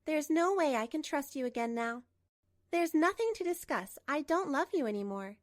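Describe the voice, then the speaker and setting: A woman playing a composed betrayed wife in a manga dub, narrating and speaking with measured, pointed delivery. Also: high-pitched, animated, no room sound, clean studio sound. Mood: firm. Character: betrayed wife, composed, coolly resolute, narrating her story.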